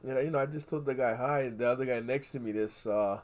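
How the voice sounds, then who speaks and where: Man from Hawaii, casual co-host, animated storyteller, swears a lot, mid-pitched voice. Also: fast. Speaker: podcast co-host.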